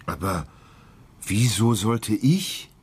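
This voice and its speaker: A man's voice: on the low side, lively intonation, slow pace, husky, exaggerated voice. An actor playing a skeptical, probing man in a murder inquiry in a German crime radio play.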